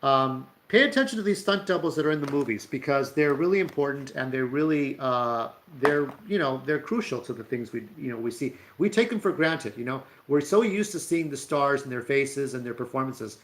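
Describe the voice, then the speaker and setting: A man with a mid-pitched voice hosts a casual, unscripted news commentary. He talks in a rambling, halting way, often pausing to hunt for the right name. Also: lively intonation, a bit bright, a little hiss or hum, rough recording. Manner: rambling and halting, searches for names. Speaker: host of a stunt news show.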